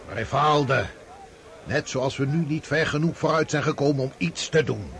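An actor playing a weary, stubborn old Russian commander-in-chief in a radio drama, grumbling and commanding. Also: male voice, on the high side, moderate pace, gravelly, fairly bright tone. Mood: dismissive, weary. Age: older.

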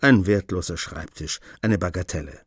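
A man narrating a radio play in a measured storytelling tone. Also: low-pitched, breathy edge, no room sound, clean studio sound, soft background music.

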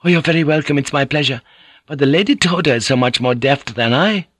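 A man's voice: a little high, fairly quick, a bit bright, no echo, clean studio sound, voice pushed up. A man voicing a young Japanese courtesan, a playful and gracious hostess with polite, coaxing questions. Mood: gracious, solicitous.

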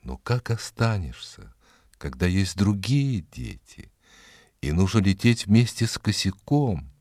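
Slow, very deep male storyteller. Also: no echo, clean studio sound. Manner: expressive, dramatic storytelling. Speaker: narrator. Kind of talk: scripted fairy-tale narration.

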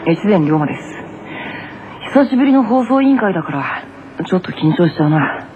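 A woman's voice: on the low side, big pitch swings, brisk. A woman voicing a cool, cheeky junior schoolboy tennis player in an anime radio drama. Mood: cool, casual. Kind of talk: anime character radio announcement. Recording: tinny narrow-band radio, faint noise.

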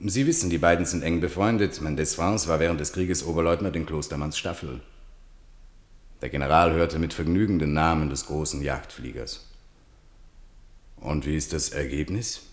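Male narrator with a very deep bass voice, report-like literary storytelling for radio drama. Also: animated, moderate pace, pauses often.

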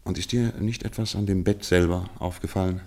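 A commanding radio-play detective with a low-pitched voice. He speaks deliberately and with formal courtesy. His manner is brisk, analytical and fully in charge. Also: steady tone, warm tone.

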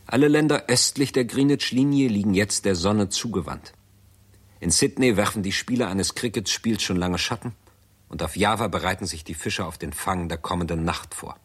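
A man narrating a 1960s German radio drama in a measured, documentary-like manner. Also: on the low side, husky.

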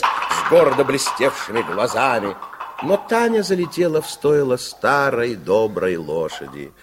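A man narrating a 1960s radio play as a wry, theatrical storyteller with expressive scripted delivery. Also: mid-range voice, a little hiss or hum.

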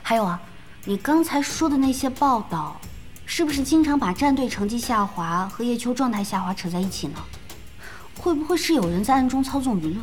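An actress playing a calm, perceptive young woman in an audio drama, speaking in a measured, thoughtful way. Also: a little high, lightly acted. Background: background music.

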